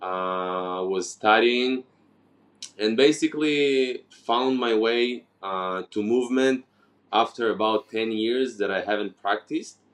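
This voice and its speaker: Slow, hesitant low-voiced man. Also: fairly bright tone. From Ukraine, raised in Israel. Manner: hesitant, many fillers and restarts. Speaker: guest. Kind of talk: casual interview conversation.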